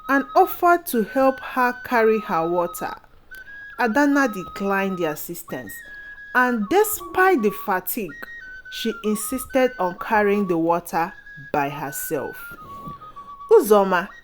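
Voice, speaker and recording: Slow, lively woman telling a folktale. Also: mid-range voice. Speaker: storyteller. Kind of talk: scripted folktale narration. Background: background music.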